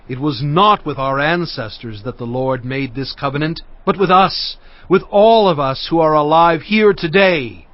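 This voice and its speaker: A man's voice: a little high, no room sound. An actor playing an earnest prophet who addresses his people with emphatic oratory in a dramatized scripture reading. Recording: slightly dull sound, faint music behind. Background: faint music.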